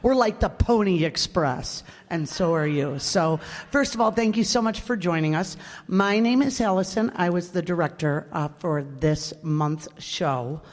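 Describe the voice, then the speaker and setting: A woman with a slightly low voice gives an informal introduction as a show's director and host. She addresses an audience in a hall with long reverb. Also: measured pace. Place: hall, live stage performance.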